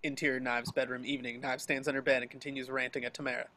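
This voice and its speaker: A man's voice: medium pitch, flat delivery, racing, pushed and bright, poor audio. A man narrating scripted action and scene headings for an amateur table read. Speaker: stage-direction reader. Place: home, group video call.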